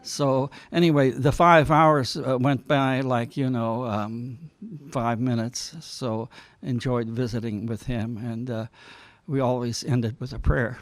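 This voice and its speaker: A man telling an informal personal reminiscence at a meeting in a halting, unhurried way. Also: mid-range voice, fairly even intonation.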